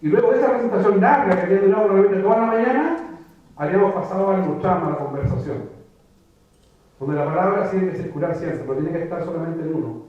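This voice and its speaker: A man's voice: sits high, expressive, fast talker. A man giving a reflective talk to a live audience in a lecture room, often restarting and repeating words as he thinks. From Chile. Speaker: writer giving a public talk. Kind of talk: conversational lecture. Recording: echoey phone-like room recording from far off.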